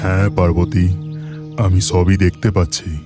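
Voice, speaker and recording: Deep, calm, all-seeing god. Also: male voice, a little slow, over music. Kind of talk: mythological cartoon voice acting.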